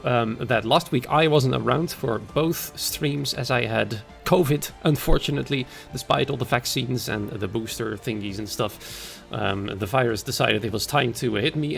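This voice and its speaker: A man commentating a sim racing league stream in a chatty way, his voice hoarse and raspy after an illness. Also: mid-range voice. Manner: chatty, conversational, greets viewers by name. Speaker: race commentator and league host.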